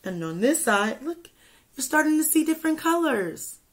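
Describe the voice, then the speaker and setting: A young woman teacher reading a picture book aloud to children, expressively, pausing to ask questions and add asides. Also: sits high, big pitch swings. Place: small indoor room with classroom wall charts.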